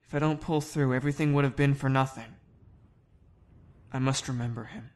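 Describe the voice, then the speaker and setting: A man's voice: mid-range voice, steady tone, a little fast, a little mellow, lightly acted. A man playing an earnest young soldier who speaks hesitantly. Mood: grieving. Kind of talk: fan dub of a sci-fi anime parody.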